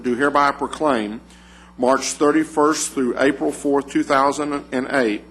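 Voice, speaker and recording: A man chairing a county commissioners court meeting, running votes and reading a proclamation in a measured, formal cadence. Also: mid-range voice, a bit bright, slightly rough, low-quality recording.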